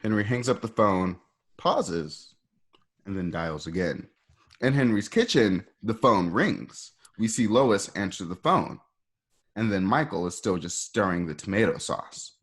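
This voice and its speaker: A man with a fairly low voice reads out stage directions for an amateur drama. His narration is informal and conversational, describing action in the present tense. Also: lively intonation, measured pace. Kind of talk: scripted narration in an amateur table read.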